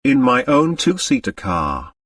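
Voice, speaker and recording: Guy doing a snooty french count for an audiobook, formal, swingy pitch, sounds a bit off the mic. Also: sing-song, a little slow, a bit bright, no echo, clean studio sound, recorded from a distance. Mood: composed. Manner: haughty, indignant, formal. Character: haughty, suave aristocratic French count. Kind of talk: audiobook character dialogue.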